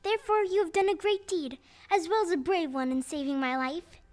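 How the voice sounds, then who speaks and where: A woman's voice: extremely high pitch, fairly quick, a little mellow. A woman playing a tiny, proud and grateful queen of field mice in a stage audio play, speaking formally and effusively. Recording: live stage recording with music.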